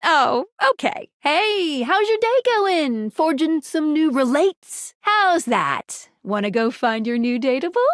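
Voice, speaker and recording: A woman's voice: sits high, very animated, slow, few pauses, no room sound, clean studio sound, heavily acted. A woman voicing an eager, friendly but self-doubting video game tutorial guide, a talking gadget with a chirpy, quippy and slightly awkward manner. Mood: excited, chirpy. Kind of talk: animated video game voice acting.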